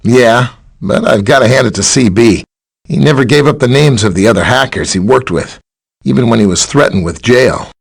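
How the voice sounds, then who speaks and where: A man playing a friendly, helpful scientist superhero in a video game, with a chatty, polite, explanatory way of talking and a slightly husky voice. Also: mid-range voice, normal speed. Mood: amused, reminiscing. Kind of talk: scripted video game character dialogue.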